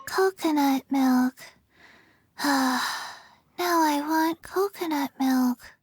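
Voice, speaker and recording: A woman voicing a sleepy, blunt little undead girl in a video game, soft, childlike, halting and deadpan. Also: high voice, dry recording. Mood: wistful. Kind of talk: video game character acting.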